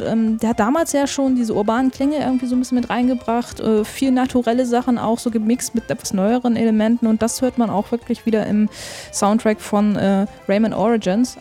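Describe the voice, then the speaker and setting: A woman's voice: a little high, brisk, dark tone. A woman hosting a casual radio show about game music, chatty, with many filler sounds, while she has a cold.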